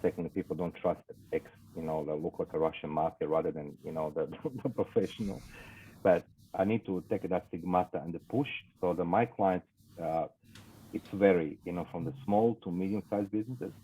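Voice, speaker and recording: A man with a Croatian accent, a guest in an interview, speaking English as a second language with many fillers and halting phrasing. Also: low voice, warm tone, breathy edge, low-quality recording. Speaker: guest, investigations business owner. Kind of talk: casual interview talk.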